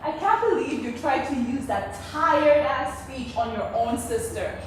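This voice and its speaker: A woman's voice: high voice, moderate pace, close to an everyday voice. A woman acting an indignant, sarcastic adult daughter in a stage play. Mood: exasperated, mocking. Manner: sarcastic, exasperated, emphatic. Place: indoor theatre stage, recorded from far off. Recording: echoey theatre, distant mic, faint noise.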